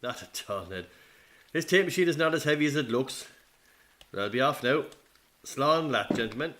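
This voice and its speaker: A man's voice: mid-range voice, lively intonation, normal speed, fairly bright tone, slight background noise, lightly acted. A man with an Irish accent playing a polite, chatty interviewer who records veterans' statements in a radio play. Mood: courteous. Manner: courteous, chatty.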